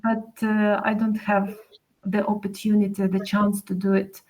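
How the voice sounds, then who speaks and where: A woman with a Ukrainian accent speaking English as a learner, pausing often with "uh". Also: medium pitch, completely flat delivery, darkish tone, rough recording. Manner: hesitant, many "uh" pauses. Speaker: course participant. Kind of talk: unscripted conversation practice.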